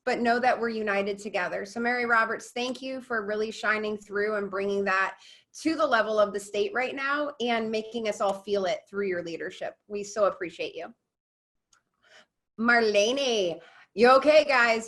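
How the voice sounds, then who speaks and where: A woman's voice: a little high, a bit bright. A woman moderating a panel informally, with an effusive, complimentary manner.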